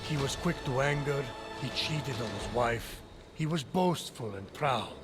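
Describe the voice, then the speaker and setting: A man playing a wise, formal immortal who narrates Greek myths in a measured, grave manner for a video game. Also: medium pitch, animated, natural voice. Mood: grave, storytelling. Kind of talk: scripted narration. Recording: music behind the voice.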